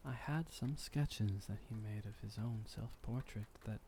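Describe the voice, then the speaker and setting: A man playing a gentle, doting, centuries-old vampire boyfriend, speaking softly and tenderly with slightly old-fashioned formality in an intimate ASMR roleplay. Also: lowish voice, slight background noise. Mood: wistful, reminiscing. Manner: soft, unhurried, tender, lightly formal old-fashioned phrasing.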